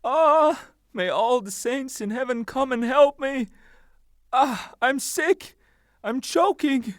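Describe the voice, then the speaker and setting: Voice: sits high, takes it slowly, no echo, clean studio sound. A scared old servant man, audio drama, whiny pleading, drags the words out. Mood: panicked, wailing. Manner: wailing, pleading. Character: timid, fretful, sickly old male servant. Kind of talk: theatrical audio drama acting.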